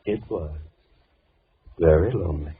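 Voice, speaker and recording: Deep-voiced, overly polite madman. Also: a little hiss or hum. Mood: courteous, eerie. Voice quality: low-pitched. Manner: formal, measured, overly polite, precise diction. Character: softly menacing, lonely madman holding two women captive. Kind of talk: old-time American radio horror acting. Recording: muffled, thin vintage radio recording.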